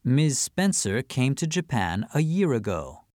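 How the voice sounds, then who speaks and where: A man reading listening-test statements in a deliberate, measured way, recorded on a close studio mic. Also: mid-range voice, very animated, darkish tone, no room sound. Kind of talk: slow scripted reading.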